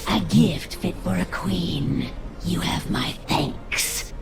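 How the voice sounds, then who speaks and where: An actress playing a regal, power-hungry elven woman with a creaky, breathy voice in a fantasy game cinematic, slow and grandiose. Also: fairly low pitch, heavy background noise, exaggerated voice. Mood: gloating. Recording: loud music behind the voice.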